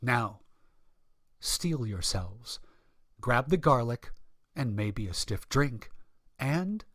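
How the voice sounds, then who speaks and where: A man's voice: medium pitch, animated, very slow, fairly bright tone, no room sound. Male vintage radio host, emphatic scripted announcer read, mid-range voice, close dry acoustic.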